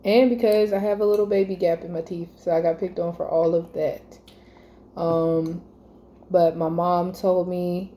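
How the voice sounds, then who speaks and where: A woman's voice: on the low side, mellow. A mother in a casual home chat with her daughter, teasing and laughing often, with loose everyday speech.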